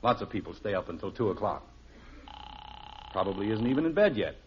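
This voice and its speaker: A man's voice: fairly low pitch, very animated, a little fast. An actor playing a grumbling, stubborn middle-aged American father, wry and exasperated, in a 1950s radio sitcom. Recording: muffled, faintly noisy old radio recording.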